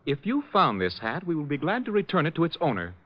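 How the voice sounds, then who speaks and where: Prissy, swooping hat store clerk. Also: male voice, a little high, wildly swooping pitch, quick pace. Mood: haughty. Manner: prissy, haughty, sarcastic. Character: snooty, fussy male hat store clerk. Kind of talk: 1940s radio acting. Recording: dull vintage radio recording.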